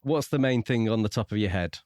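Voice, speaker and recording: A man's voice: fairly low pitch, a little fast, a little mellow, dry recording, clean studio sound. A man co-hosting a book podcast, discussing his views in a casual, conversational way. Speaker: podcast co-host reviewing books.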